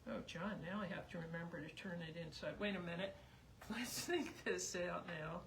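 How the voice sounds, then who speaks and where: A woman's voice: lowish voice, moderate pace. Chatty woman losing her voice. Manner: chatty, rambling, thinking aloud. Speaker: sewing instructor. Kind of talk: live sewing demonstration. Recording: noisy background.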